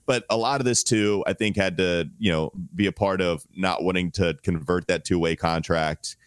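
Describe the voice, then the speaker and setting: Deep-voiced man, under the weather, podcasting. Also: fairly even intonation, moderate pace, clean tone, dry recording, studio quality. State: under the weather, strained voice held at low volume. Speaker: sports podcast co-host. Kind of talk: casual sports podcast discussion.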